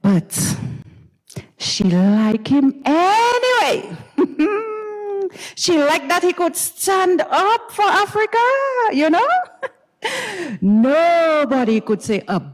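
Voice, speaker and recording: A woman with a Grenadian accent giving a dramatized story reading, expressive and gossipy, with musing hums and pauses. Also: extremely high pitch. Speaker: dramatic reader. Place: university recital hall stage. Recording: reverberant recital hall.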